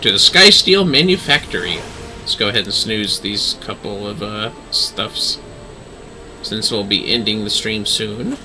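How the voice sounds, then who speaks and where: A man's voice: lively intonation, a little slow, bright, forceful tone, soft background music, rough recording. A man giving casual, offhand livestream commentary on a game he is playing, muttering as he goes. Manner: low-key, offhand muttering. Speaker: game streamer.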